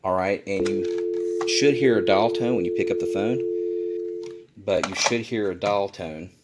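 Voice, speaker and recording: A man with a fairly low voice walks through a practical demonstration in a casual way. He explains each step plainly and often restates it to be clear. He is recorded indoors at a desk. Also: measured pace, heavy background noise. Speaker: instructor, video presenter.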